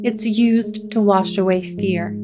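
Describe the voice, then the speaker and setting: A woman playing a gentle, wise occult shop proprietor in a gothic audio drama, unhurried and reassuring. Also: medium pitch, fairly even intonation, close to an everyday voice. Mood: gentle, calm. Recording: clear music bed under the voice.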